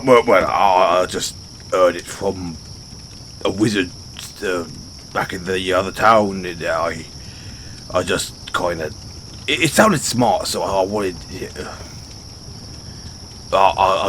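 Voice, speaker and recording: A British man voicing a dim, sheepish orc barbarian in a fantasy audio roleplay, speaking in a halting, blunt, stammering way. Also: low voice, a bit bright, a little hiss or hum. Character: gruff but sheepish, dim orc barbarian adventurer, adult male. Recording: outdoor night ambience with crickets.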